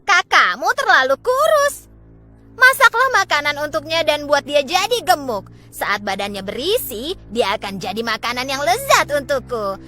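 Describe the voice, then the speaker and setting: A woman voicing a greedy, bossy old witch in a children's storybook, menacing and commanding, with drawn-out emphatic words. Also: high-pitched, big pitch swings, sharp tone, faint music behind, pitched above the performer's own voice, exaggerated voice.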